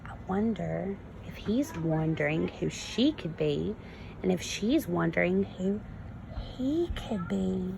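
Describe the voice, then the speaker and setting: A woman kindergarten teacher reading a story to young children, expressive and instructive, prompting them with questions. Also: on the low side, slow pace, creaky, background noise. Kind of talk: read-aloud lesson for young children.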